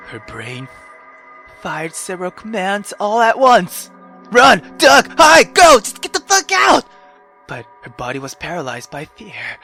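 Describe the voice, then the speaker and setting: Female let's-play reader, slow and animated, theatrical narration broken by blunt, profane reactions, prominent music underneath. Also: a little high. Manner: expressive, exclamatory, profane asides. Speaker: gamer reading a visual novel aloud. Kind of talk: dramatic reading with reaction commentary. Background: game music.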